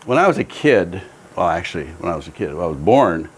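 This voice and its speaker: A man's voice: low voice, very animated, a little fast, slight background noise. A man in his seventies who grew up in Japan tells his life story in a reflective, hesitant way, with many ums and restarts.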